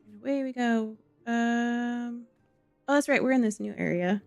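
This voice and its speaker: A woman's voice: fairly high pitch, unhurried, gravelly, darkish tone, clear, resonant tone, dry recording, muffled recording. A woman livestreaming a game, chatting casually and playfully and greeting viewers as she goes. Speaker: live game streamer. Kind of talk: casual livestream chat, reading game dialogue aloud. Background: faint background music.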